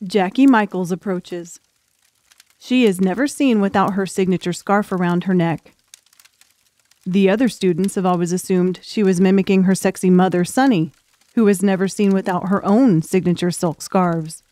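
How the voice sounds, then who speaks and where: Female audiobook narrator, mid-pitched, scripted dramatic storytelling, dry studio-close sound. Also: moderate pace, dark tone, no room sound.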